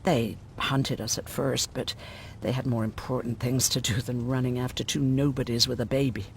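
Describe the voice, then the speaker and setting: An actress playing a gruff, sardonic, world-weary woman medic who survived a prison camp, in a dramatic video game style. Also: extremely low pitch, level tone, normal speed, slight background noise. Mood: grim recounting.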